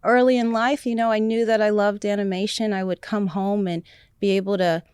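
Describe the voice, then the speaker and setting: A woman's voice: medium pitch, flat delivery, a little fast, a little mellow, smooth, dry recording, clean studio sound. A woman talking as an interview guest in casual chat, enthusiastic and rambling, with many fillers.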